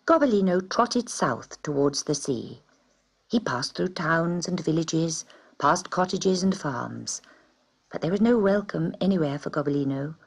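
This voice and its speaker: A woman reading a children's story aloud in an expressive, dramatic way. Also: on the low side, vocal fry, breathy edge.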